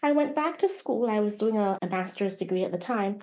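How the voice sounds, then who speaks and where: Expressive woman telling a personal story. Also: mid-range voice, brisk. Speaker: guest playwright.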